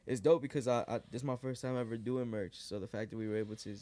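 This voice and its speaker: A young man in his twenties from New York, a guest rapper chatting casually in a podcast interview, relaxed and loose with lots of fillers. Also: mid-range voice, fast. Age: 20s. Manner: relaxed, lots of likes and restarts. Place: living room podcast set, indoors. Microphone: boom studio mic.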